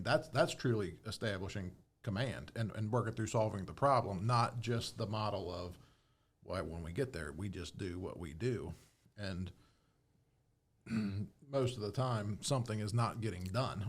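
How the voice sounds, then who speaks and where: Guy with a lowish voice explaining stuff on a podcast, kinda halting, thinks out loud. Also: animated. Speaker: podcast panelist and fire service instructor. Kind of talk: casual explanatory discussion.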